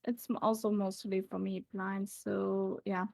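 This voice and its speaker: A woman guest on a live stream, speaking hesitantly with many fillers and pauses, her voice creaky. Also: mid-range voice, flat delivery, warm tone, dry recording. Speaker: guest voice actor. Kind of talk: casual live-stream chat.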